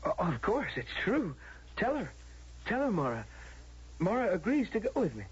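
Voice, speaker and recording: A desperate man in an old radio drama, coaxing and urgent. His voice is fairly high and very husky, swinging in pitch, on a muffled broadcast recording. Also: wildly swooping pitch, normal speed, heavily acted. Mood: nervous insistence.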